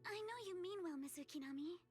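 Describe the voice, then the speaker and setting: Very high-voiced, gentle young woman. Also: soft background music. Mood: polite, gentle. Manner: formal, gentle, measured. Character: polite, wistful young woman carrying guilt over her lost father. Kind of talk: dramatic game voice acting.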